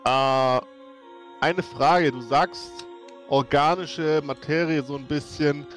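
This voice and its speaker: A man's voice: a little high, steady tone, slow pace, a bit bright. A man chatting casually as a tabletop player, with dry, wry understatement. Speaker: tabletop role-play player. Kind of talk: casual chat. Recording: music behind the voice.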